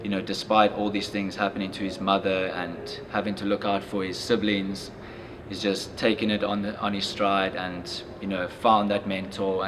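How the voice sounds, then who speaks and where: Low, flat-voiced young man reading and reflecting. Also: noisy background. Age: young adult. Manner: earnest, thoughtful, with many fillers. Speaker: young reader reacting to a story. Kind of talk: reading aloud, then casual reflection.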